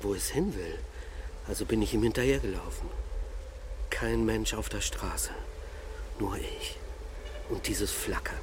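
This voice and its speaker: A man acting as a restless middle-aged father in a German radio drama. His low voice is hushed and reflective, feeling its way through a confession. Clear ambient noise sits behind him. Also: natural voice.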